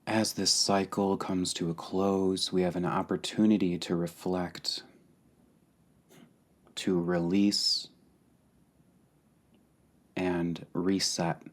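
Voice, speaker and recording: Slow, low-pitched man guiding meditation. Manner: calm, deliberate, pausing between short phrases. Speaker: meditation guide. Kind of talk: guided meditation, slow and spacious.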